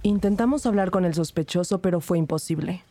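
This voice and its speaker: An actress playing an earnest, inquisitive police investigator who narrates and acts in a scripted crime podcast drama. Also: female voice, on the low side, a little fast, dark tone, a little hiss or hum, natural voice. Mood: grave narration. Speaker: narrator and detective character.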